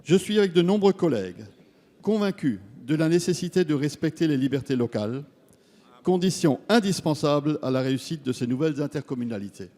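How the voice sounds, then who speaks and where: A man giving a formal, measured parliamentary speech, reading out a prepared question as a member of parliament. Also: on the high side, expressive, dark tone. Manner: measured, formal, read-out delivery. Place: large parliament chamber. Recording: long reverb of a large hall.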